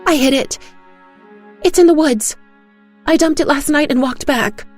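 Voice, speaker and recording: A narrator as panicky woman, raised high voice, anxious, insistent, defensive, audio drama, music underneath. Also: measured pace. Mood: nervous confession. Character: Gloria, panicky frightened woman accomplice on the phone. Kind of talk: audio drama character acting.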